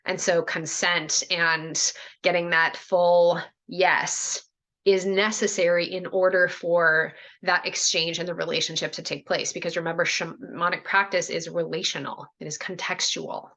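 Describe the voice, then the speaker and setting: A woman in a shaman and spiritual mentor role, teaching a group over a video call in a casual, animated way, with many "like" and "right?" asides. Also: on the low side, moderate pace.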